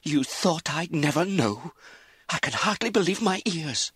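Storybook young lady, anxious and indignant, played by a male narrator, raised pitch, emphatic, dry studio sound. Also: big pitch swings, fast talker, a bit bright, no room sound. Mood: outraged. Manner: emphatic, exclaiming. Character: outraged young married gentlewoman, anxious and indignant. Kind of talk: audiobook character acting.

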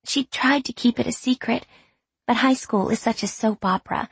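A woman voicing an earnest teenage high school girl confiding in her diary in an audiobook, self-mocking and candid. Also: moderate pace, vocal fry, husky, dry recording, full character voice. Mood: chatty, confiding. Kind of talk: audiobook reading of diary entries.

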